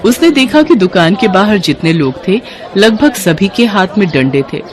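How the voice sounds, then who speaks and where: A woman's voice: mid-range voice, expressive, moderate pace, crowd noise. A woman reading scripted narration as the storyteller of a cartoon moral tale.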